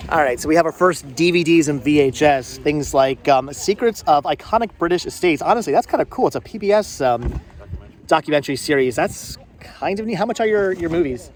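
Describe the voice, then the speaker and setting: A man's voice: on the high side, lively intonation, a little fast. A man chatting casually as he hosts a vlog, rambling and often repeating and restarting his phrases. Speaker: vlogger hosting a flea market thrifting video. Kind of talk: casual unscripted vlog chat to camera and companions. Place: outdoors at a busy flea market. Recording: noisy outdoor recording with vehicle ambience. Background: outdoor market ambience and vehicles.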